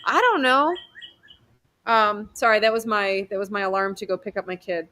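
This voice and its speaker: A woman physician from New York state, hosting a casual livestream over a video call, chatty and rambling with quick bursts of excitement. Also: mid-range voice. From New York state, USA.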